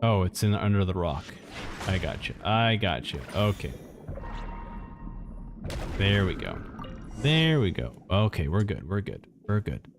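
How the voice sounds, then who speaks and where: A man's voice: deep voice, big pitch swings, measured pace, pauses often. A man giving casual live commentary as he plays a game, muttering to himself and repeating short phrases. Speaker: game streamer. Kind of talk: casual live reaction commentary over gameplay. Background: game music.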